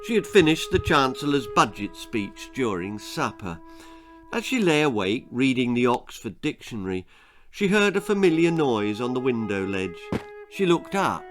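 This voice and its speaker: A man's voice: medium pitch, sing-song, moderate pace, fairly bright tone, faint music behind. A man reading his own children's story aloud as a dramatic storyteller.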